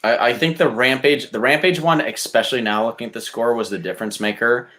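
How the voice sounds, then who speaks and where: A man talking casually as a podcast contestant, blunt, argumentative and quick to swear. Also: mid-range voice, a bit bright. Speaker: podcast contestant pitching movie reboots.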